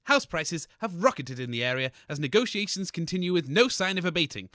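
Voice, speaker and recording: A man performs an excitable, grumpy co-host of a comic local radio station. His voice is high and very lively in pitch. It is recorded dry and close. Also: brisk, no echo. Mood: mock-serious. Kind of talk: comic radio acting as newsreader and banter.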